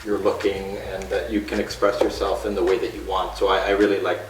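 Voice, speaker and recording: A man from the northeastern United States, a guest chatting casually on an interview show, relaxed and full of fillers. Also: deep voice, a little fast, noisy background. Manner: relaxed, with many fillers and restarts. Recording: echoey room from a distant mic.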